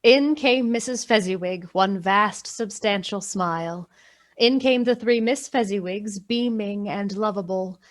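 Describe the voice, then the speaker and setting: A woman's voice: medium pitch, unhurried, lightly acted. A woman narrating a Victorian Christmas tale in a scripted storybook style for a radio play. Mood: festive storytelling.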